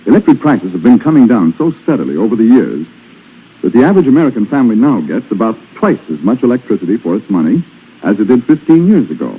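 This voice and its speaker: A man's voice: lowish voice, very animated, quick pace, slightly rough, phone-quality sound. A man reading a scripted sponsor message as a commercial announcer on 1940s radio. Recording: thin, band-limited 1940s radio broadcast.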